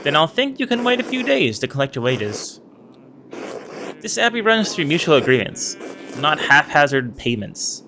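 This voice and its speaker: A man reading a strict, rule-bound monk from a narrative game, stiff and disapproving in every word. The voice is pitched a little low and kept quiet, with plenty of rise and fall. A clear hiss of noise sits under the recording. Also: very animated, moderate pace. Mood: stern. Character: stern, formal monk guarding an abbey's treasures.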